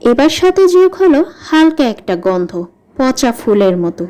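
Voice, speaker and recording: Female horror narrator: high, mellow tone, suspenseful scripted delivery. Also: big pitch swings, moderate pace. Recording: music behind the voice. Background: background music.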